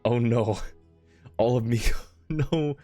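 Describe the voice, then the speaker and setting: A man's voice: mid-range voice, slow pace, warm tone, dry recording, faint music behind. A man doing casual live game commentary as a streamer, playful and mock-dramatic as he chats to viewers.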